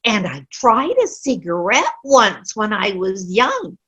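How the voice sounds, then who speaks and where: A woman's voice: mid-range voice, very animated, slow pace, fairly bright tone, husky, heavily acted. A woman playing a giddy old lady in a comic play reading, giggly and whiny about sweets, over a video call. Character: giddy old woman, Jean, newly spry, craving treats. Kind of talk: virtual play reading, comedy. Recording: dull video-call sound.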